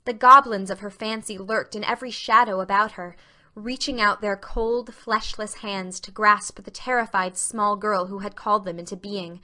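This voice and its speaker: A woman narrating a novel as a dramatic reading, with expressive literary storytelling. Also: mid-range voice, moderate pace.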